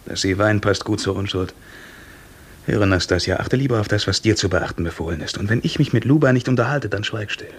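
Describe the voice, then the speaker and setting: An actor playing a bold young serf hairdresser in a 1950s radio drama, speaking defiantly and with urgent command. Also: male voice, on the low side, a little fast, breathy edge. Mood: defiant, sardonic. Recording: 1950s radio recording with loud music.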